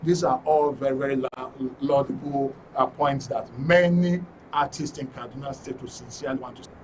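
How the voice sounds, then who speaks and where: A Nigerian man hosting a live studio interview, speaking in a wordy, halting way with formal courtesy. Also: mid-range voice, fairly even intonation. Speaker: talk show host. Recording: distant mic with faint noise.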